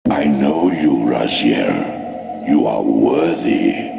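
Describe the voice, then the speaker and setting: An actor playing a vast, ancient godlike entity in a video game, speaking with cold, imperious and portentous command. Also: male voice, a bass voice, expressive, very slow, vocal fry, noisy background, exaggerated voice. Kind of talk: dramatic video game voice acting. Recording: echoing reverb, music underneath. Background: game score.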